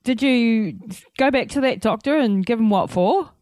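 A woman's voice: fairly high pitch, animated, moderate pace, darkish tone. A woman interviewing a guest, reacting with short supportive interjections.

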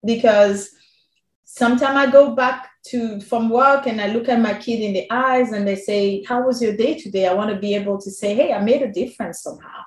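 A woman with a non-native accent tells her own story as a podcast guest, lively and laughing often as she acts out past conversations. Her voice sits fairly high. The room around her has a roomy echo. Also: brisk. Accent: non-native English accent. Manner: animated, laughs often, quotes herself. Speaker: working mother. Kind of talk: candid personal storytelling.

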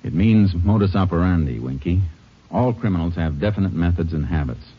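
A steady, measured Texas Ranger lawman in an old radio western. His voice sits very low with a husky edge, and the vintage broadcast sounds muffled and lo-fi. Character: calm, methodical male Texas Ranger lawman. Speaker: lead detective. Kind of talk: 1950s radio drama acting. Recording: dull lo-fi vintage radio recording.

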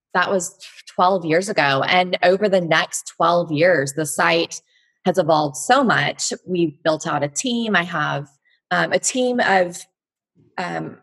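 A woman in her thirties from Texas speaks low and a touch husky. She tells her story reflectively and at ease, with easy fillers and pauses as she thinks. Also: fairly bright tone. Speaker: guest, blogger and entrepreneur. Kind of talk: conversational interview.

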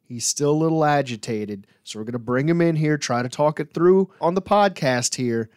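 A man's voice: on the high side, moderate pace, darkish tone, smooth, no room sound. Joking man hosting a sports podcast, dry sound. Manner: joking, teasing. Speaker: podcast host. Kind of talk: casual sports podcast chat.

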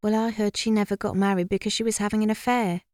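Woman voicing a smug little schoolgirl gossip, higher pitched. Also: steady tone, quick pace, darkish tone, dry recording, clean studio sound, close to an everyday voice. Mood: smug, conspiratorial. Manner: self-important, knowing.

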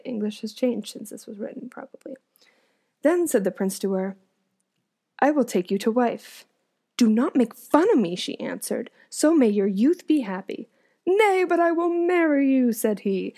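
A woman's voice: medium pitch, creaky, mellow. A young woman reads an old folk tale aloud in an everyday voice, stopping often to comment on the story. Her asides are casual and amused, and she chuckles as she talks.

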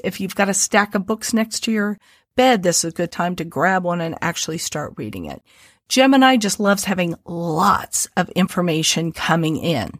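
A woman's voice: mid-range voice, no room sound. Twangy Midwestern woman, dry close sound. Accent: Midwestern American, a little twangy. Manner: explanatory, relaxed, uses homely images. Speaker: astrologer co-host. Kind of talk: podcast astrology chat.

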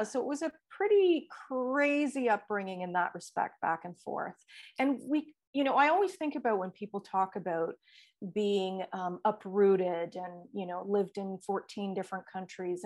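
A middle-aged woman from Italy speaks as an interview guest. Her voice sits at an ordinary middle pitch, and she tells her story in a measured, reflective way. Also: a little mellow. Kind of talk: conversational interview.

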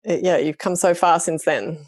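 A woman's voice: lowish voice, normal speed, a little mellow, dry recording. A woman hosting a chat, asking easy questions and murmuring short backchannels. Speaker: host. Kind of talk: podcast interview.

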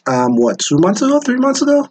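A man's voice: high voice, huge pitch swings, fairly quick, a little mellow, slight background noise. A man from Nigeria hosting a casual podcast interview, joking and teasing his guest, laughing often and repeating his words. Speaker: podcast host. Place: home studio in Denver.

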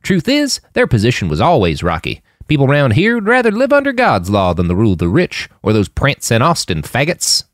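A man voicing a gruff, pious older Texan militia driver with folksy Southern speech in an audiobook. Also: medium pitch, huge pitch swings, brisk, hardly any pauses, no echo, studio quality, pitched above the performer's own voice. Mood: scornful. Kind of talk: audiobook character voice.

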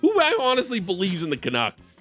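A man's voice: high voice, wildly swooping pitch, fairly quick. A man co-hosting a sports show, giving sarcastic, ranting opinions on hockey. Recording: music playing behind the voice. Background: music bed.

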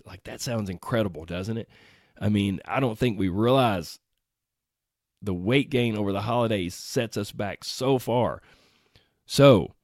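A man hosting his podcast alone, his voice hoarse after being sick, talking in an upbeat, earnest way with frequent exclamations. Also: on the low side, no echo, studio quality. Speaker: podcast host. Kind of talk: solo podcast talk.

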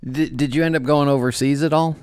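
A man's voice: a little high, fairly even intonation, a little fast, darkish tone, clean tone, no echo, clean studio sound. A man hosting a podcast interview in casual chat, joking and chuckling at his own quips. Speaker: podcast host.

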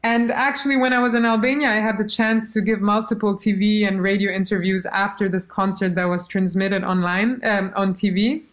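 A woman musician being interviewed, telling stories in a lively, casual way and quoting others as she goes. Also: mid-range voice, a little fast, few pauses. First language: German. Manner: animated storytelling, quotes others, laughs. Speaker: musician guest.

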